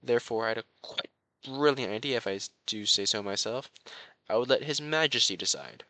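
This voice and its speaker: A man playing a courtly young crown prince, besotted and quietly possessive, speaking formally and floridly to the listener in a romantic audio roleplay. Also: mid-range voice, animated, a little fast, no room sound. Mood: proud, fond. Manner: formal, flowery, genteel speech.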